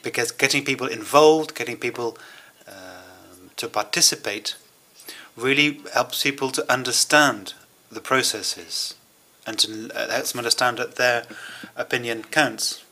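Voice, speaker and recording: A man interpreting a German speech into English at a conference, with measured, hesitant phrasing and pauses. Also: mid-range voice, pushed and bright.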